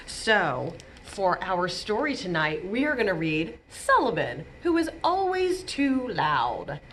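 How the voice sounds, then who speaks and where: A woman reading a storybook aloud to young children, deliberate and expressive, leaning on key words. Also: lowish voice, a little hiss or hum. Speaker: children's librarian, storytime reader.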